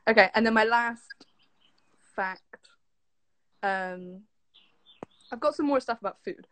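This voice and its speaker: A woman's voice: fairly high pitch, slow pace, fairly bright tone. A young woman with an English accent chatting casually and informally as she shares fun facts, with a giggly manner. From southwest England. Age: young adult. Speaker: guest, former camp staffer.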